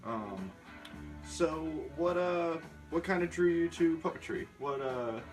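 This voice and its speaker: Halting male interviewer over guitar music. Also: fairly high pitch, lively intonation, rough recording. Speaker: interviewer, host. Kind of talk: casual interview questions. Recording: music playing behind the talk.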